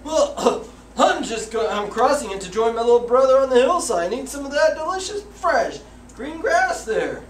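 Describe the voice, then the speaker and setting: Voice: high-pitched, big pitch swings, moderate pace, slight background noise. A child performer playing a hungry, reckless middle billy goat, expressively, in a school readers theater. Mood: cheeky, persuading. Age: child, about eight or nine. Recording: echoey room, far from mic.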